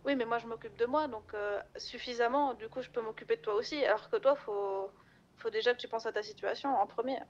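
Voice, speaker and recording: Girl roleplaying a chatty caring woman, highish voice, bit muffled like voice chat, stumbles and restarts. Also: brisk, close to an everyday voice. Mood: caring, insistent. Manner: informal, hesitant with frequent restarts. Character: chatty, caring, self-deprecating woman, playfully scatterbrained. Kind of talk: improvised in-character roleplay chat.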